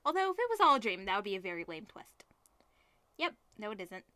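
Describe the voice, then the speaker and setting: Bright-voiced woman reading a game aloud. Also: mid-range voice, big pitch swings, quick pace, no echo. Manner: wry, breaks off to comment on the script. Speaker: let's play host reading a visual novel aloud. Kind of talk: read-aloud narration with casual asides.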